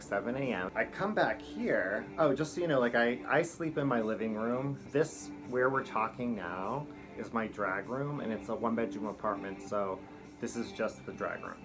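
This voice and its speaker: A middle-aged man, a drag performer, telling a rambling, profane comic story in a campy, chatty way from a small room at home. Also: medium pitch. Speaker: drag performer and show host.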